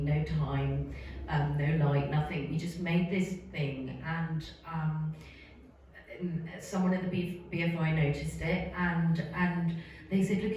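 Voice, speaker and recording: A woman's voice: on the low side, warm tone. A woman film director on a festival panel, speaking passionately and candidly. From Britain. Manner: passionate, candid, laughs easily. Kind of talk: panel talk. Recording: echoey room, voice from far off, faint noise.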